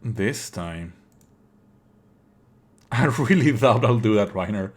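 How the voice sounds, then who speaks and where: A man's voice: fairly low pitch, lively intonation, slow, husky. A man doing live let's play commentary as a streamer, sardonic and indignant, swearing freely and at times reading game lines aloud.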